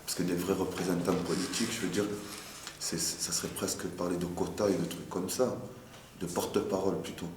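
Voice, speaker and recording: A man's voice: low voice, little pitch movement, a little fast, a little mellow. A man talking casually in a street interview, repeating words and firing off rhetorical questions. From France. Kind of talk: casual, animated street talk. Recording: echoey, faint background noise.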